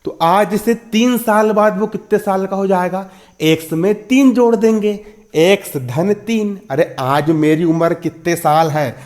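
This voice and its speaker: Higher-pitched young man teaching maths. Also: a little slow, a little mellow. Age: 20s. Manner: repetitive, asks and answers own questions, checks understanding. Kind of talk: school maths lesson, explaining step by step.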